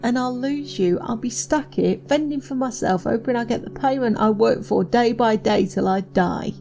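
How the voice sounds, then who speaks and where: An actress playing an anxious, devoted young wife in a dystopian audio drama, pleading and earnest. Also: female voice, on the high side, normal speed, creaky, warm tone. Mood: anguished. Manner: pleading, earnest, old-fashioned phrasing. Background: music.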